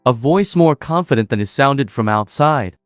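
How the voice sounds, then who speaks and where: A man reading scripted narration for an animated story in a steady, even storytelling manner. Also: medium pitch, normal speed, no echo. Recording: dry voice-over with faint music.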